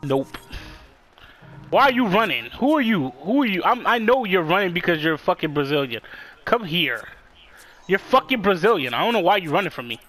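A young man chatting casually with a friend while playing a game, loud, excitable and swearing often. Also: high voice, moderate pace, soft background music, poor audio. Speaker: gamer streaming his play. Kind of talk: casual gaming chat with a friend.

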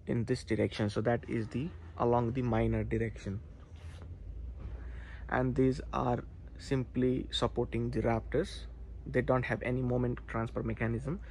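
Man explaining on site, noisy background. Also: medium pitch. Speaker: civil engineering tutor. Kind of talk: hands-on explanatory walkthrough. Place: inside unfinished steel warehouse. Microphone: handheld phone camera.

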